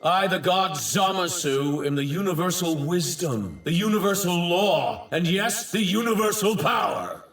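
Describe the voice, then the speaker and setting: An actor playing an arrogant, self-righteous villain god in an anime dub, declaiming in a grandiose, archaic and theatrical manner. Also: male voice, high-pitched, expressive, measured pace, hardly any pauses, a bit bright. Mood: grandiose, solemn, then triumphant shouting. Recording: roomy, distant, faint effects noise.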